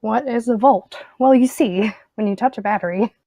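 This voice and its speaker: A woman's voice: a little high, normal speed, warm tone, no room sound. Woman reading out forum questions n answering them, chill and jokey, dry close sound. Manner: casual, joking, with light laughs. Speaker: community staff member.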